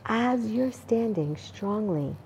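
A woman leading a guided meditation in a calm, deliberate manner, leaving long pauses between short phrases. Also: mid-range voice, a little hiss or hum. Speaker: meditation guide, spa owner and life coach.

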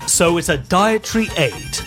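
A man co-hosting a comedy podcast, dropping dry, deadpan quips. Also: high-pitched, moderate pace, over music. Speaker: podcast co-host. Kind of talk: casual comedic chat.

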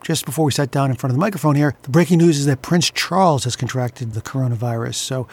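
A man's voice: medium pitch, a little mellow, husky. A man hosting a radio talk show from a studio, chatting casually and loosely with many filler sounds. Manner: fast talking with frequent uh fillers. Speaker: podcast host. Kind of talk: conversational radio interview.

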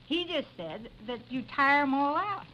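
A woman's voice: sits high, animated, unhurried. A woman chatting and telling an anecdote in a radio interview, on a muffled, noisy vintage recording.

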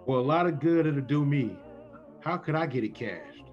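A man's voice: mid-range voice, lively intonation, moderate pace, lightly acted. Man playing a streetwise radio tough guy, muffled. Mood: skeptical. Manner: slangy tough-guy talk. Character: tough, suspicious streetwise man with a soft streak. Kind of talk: old-time radio drama acting. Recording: dull sound with music.

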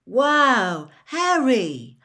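A woman's voice: mid-range voice, slow pace, lightly acted. A woman voicing an easygoing, generous teenage schoolboy wizard in a storybook, with a casual, chummy way of talking. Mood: thrilled.

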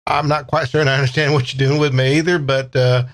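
A man's voice: medium pitch, little pitch movement, fast, lightly acted. A man playing an easygoing Texan character with a folksy, rambling drawl in a tabletop roleplay. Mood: amiable, self-deprecating.

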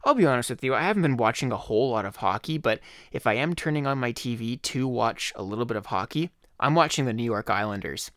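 A man hosting his own podcast, talking casually in a slightly hoarse voice, rambling with fillers. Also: medium pitch, animated, quick pace, hardly any pauses, fairly bright tone, no echo, clean studio sound. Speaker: podcast host. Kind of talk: casual solo podcast talk.

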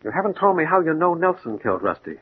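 An actor playing a tough, guarded American gang boss, curt and sardonic, in 1940s radio crime drama style. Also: male voice, on the high side, very animated, quick pace, a little hiss or hum. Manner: curt, hard-boiled, sardonic. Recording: tinny, narrow old radio recording.

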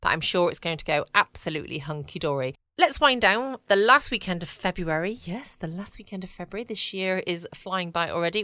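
A British woman chats casually as a bedtime podcast host. Her voice is slightly low, smooth and bright, in a dry home recording. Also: no room sound. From United Kingdom.

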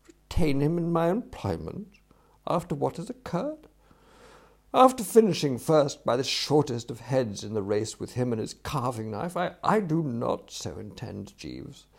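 Give me a man playing an excitable, slangy young English toff from a comic storybook, blustering and exclamatory, with a posh accent and some creak in the voice. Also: medium pitch, normal speed, few pauses, pitched above the performer's own voice. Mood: indignant. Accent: upper-class English. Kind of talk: acted audiobook dialogue.

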